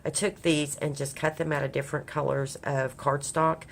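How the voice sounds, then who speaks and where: A woman from Kentucky chatting casually in a crafting vlog, hoarse and raspy from a cold, rambling with many ums. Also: low voice, little pitch movement, normal speed. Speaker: craft vlogger. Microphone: overhead camera on a craft table.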